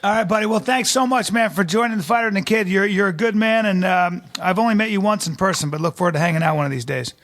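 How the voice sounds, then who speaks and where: High-voiced jokey man hosting. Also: quick pace, few pauses, fairly bright tone. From Philippines. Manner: jokey, friendly host banter. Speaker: podcast co-host. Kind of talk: casual chat.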